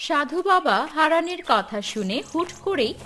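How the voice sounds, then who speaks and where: A woman's voice: sits high, animated, moderate pace, fairly bright tone, faint music behind. A woman reading scripted storybook narration for a cartoon folk tale.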